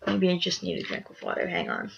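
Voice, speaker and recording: A young woman with a raspy voice, reading a horror fan story aloud, rambling and apologetic with chatty asides. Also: on the low side, wildly swooping pitch, fast talker, a little hiss or hum. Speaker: story reader. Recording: lo-fi dull recording.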